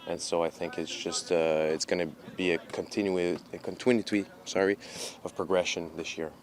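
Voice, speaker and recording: A French-native man with a French Canadian accent, a soccer goalkeeper answering press questions in a measured, halting way with many filler pauses. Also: deep voice, steady tone. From Montreal, Canada.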